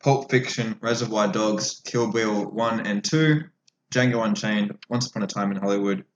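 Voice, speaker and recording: A man's voice: lowish voice, normal speed, dull audio. A man co-hosting a podcast in casual banter, needling the others in a dry, contrarian way. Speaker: podcast co-host. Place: echoey indoor room with other co-hosts. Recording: roomy echo, background noise, clicks.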